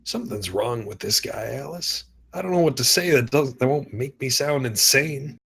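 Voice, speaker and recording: A man acts a police detective who probes and doubts in a screenplay table read. His voice is middling in pitch, neither deep nor high, and carries suspicion. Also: normal speed, gravelly, a bit bright, breathy edge. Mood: troubled.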